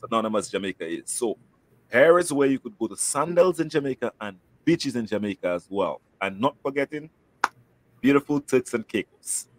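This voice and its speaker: A man's voice: mid-range voice, expressive, moderate pace, no echo, rough recording. A man with a Saint Lucian accent hosting a casual promotional interview, chatty and fond of repeating words for emphasis. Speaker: host, travel agent.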